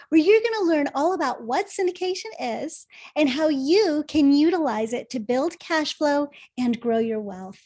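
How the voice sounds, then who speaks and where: High-voiced, enthusiastic woman host. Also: a little mellow, no echo, studio quality. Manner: enthusiastic, laughs easily. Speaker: podcast host. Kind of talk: reading a guest bio, then casual interview chat.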